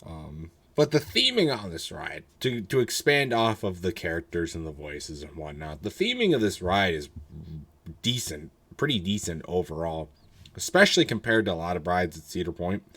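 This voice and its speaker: A young man with a deep, low voice that is hoarse. He talks in a loose, rambling way, full of ums and restarts, and gives blunt, candid opinions in a casual solo review. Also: very animated, moderate pace, a bit bright. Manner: rambling, filler-heavy, candid. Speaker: roller coaster reviewer.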